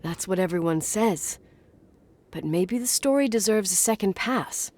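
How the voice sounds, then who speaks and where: A woman's voice: mid-range voice, lively intonation, unhurried, darkish tone. An actress playing a stubborn, inquisitive young woman in naturalistic game dialogue, suspicious and uneasy, probing earnestly with some hesitation. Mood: determined, suspicious.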